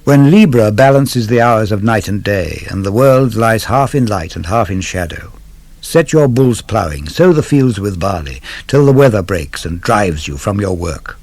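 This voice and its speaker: A man reads verse aloud in a measured, instructive way. His pitch moves expressively through each phrase, and every line is set down deliberately. The sound is close and dry, with very little room around the voice. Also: expressive, a little mellow, dry recording. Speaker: poetry reader. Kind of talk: dramatic verse recitation, scripted narration.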